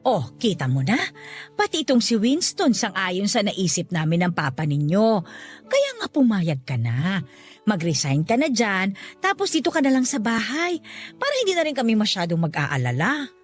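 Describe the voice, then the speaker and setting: An expressive, pushy, doting mother-in-law. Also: mid-range voice, normal speed. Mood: insistent. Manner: coaxing, insistent, expressive. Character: doting, pushy middle-aged mother-in-law, expectant grandmother. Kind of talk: Tagalog radio drama acting. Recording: music bed under the voice. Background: background music.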